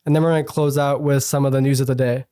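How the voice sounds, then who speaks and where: Slightly hoarse young male sports host. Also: mid-range voice, little pitch movement, fast, dark tone, no room sound, clean studio sound. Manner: chatty, many fillers and self-corrections. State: slightly hoarse from a cold, sniffly. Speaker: sports radio show host. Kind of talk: casual solo sports talk. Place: college radio studio.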